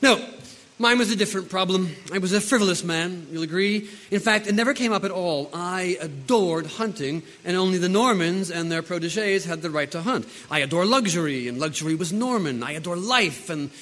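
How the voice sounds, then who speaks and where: An actor playing a suave, witty, frivolous courtier with polished, deferential speech in a theatrical period drama. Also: male voice, high voice, animated, brisk, a bit bright. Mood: urbane, candid. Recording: echoey hall with long reverb.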